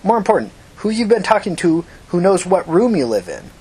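A tough woman amateur sleuth, read by a man, pressing and confrontational, with a husky edge. The voice sits fairly high for a man and has the hard, blunt delivery of an audiobook interrogation. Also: big pitch swings, a little fast, a little mellow, exaggerated voice, low-quality recording. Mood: insistent. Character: tough, angry, foul-mouthed woman interrogating as amateur detective.

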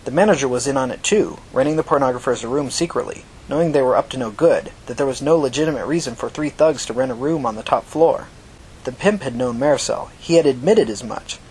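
A man's voice: medium pitch, fast, a little mellow, slight background noise, poor audio. A man narrating a scripted story in the manner of an audiobook, reading out prose and dialogue. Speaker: fiction podcast narrator.

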